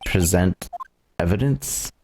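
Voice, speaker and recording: A man's voice: sits low, animated, takes it slowly, darkish tone, breathy edge, low-quality recording. A man streaming a video game, reading its dialogue aloud in a dramatic, theatrical way. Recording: game music behind the voice.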